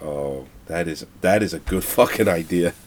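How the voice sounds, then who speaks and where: A man's voice: sits low, unhurried, slight background noise. A man who is tired and under the weather, co-hosting a podcast in casual, profane chat. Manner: profane, informal. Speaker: podcast co-host.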